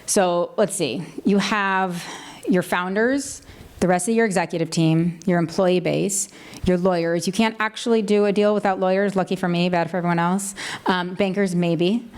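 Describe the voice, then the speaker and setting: A woman lawyer moderating a conference panel, brisk with dry asides. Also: lowish voice, steady tone, darkish tone. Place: conference stage.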